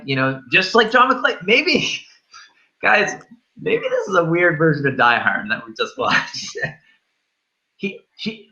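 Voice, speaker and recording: A man's voice: high-pitched, bright, forceful tone, lo-fi sound. A man in a casual film discussion, rambling and thinking aloud as he reviews. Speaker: host of a film review show.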